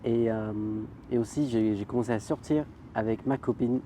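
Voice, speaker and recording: A man from the United Kingdom answers questions casually and hesitantly. His voice is very dark and mellow. Faint traffic noise runs behind. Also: medium pitch, normal speed. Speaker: guest. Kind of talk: casual interview.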